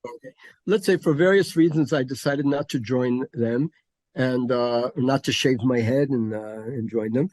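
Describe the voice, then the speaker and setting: An older man from Connecticut, talking in a halting, filler-heavy way as an interview guest. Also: mid-range voice, darkish tone, no room sound. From Connecticut, USA. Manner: halting, many fillers and restarts. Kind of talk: casual interview conversation.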